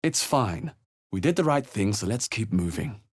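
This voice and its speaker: Low, husky, wary fantasy adventurer. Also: male voice, sing-song, moderate pace, no echo, studio quality. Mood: startled, urgent. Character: thoughtful, wary adult male fantasy adventurer. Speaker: video game voice actor. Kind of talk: video game character voice lines.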